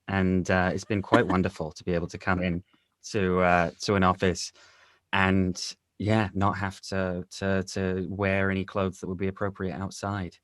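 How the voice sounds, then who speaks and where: A man's voice: low voice, flat delivery, normal speed, fairly bright tone, no echo. A man chatting casually as an interview guest in a wry, self-mocking manner. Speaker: audio drama director, interview guest. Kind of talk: casual chat. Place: home, on a video call.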